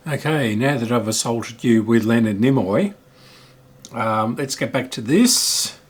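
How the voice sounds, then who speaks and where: A man's voice: medium pitch, animated, a little slow, slightly rough. A middle-aged man giving casual repair commentary as a hobbyist host, thinking aloud in a hesitant, musing way.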